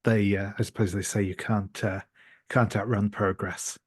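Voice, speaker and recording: A man telling an improvised tabletop roleplay story, haltingly searching for words. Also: lowish voice, flat delivery, husky, no echo, clean studio sound. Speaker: tabletop game participant.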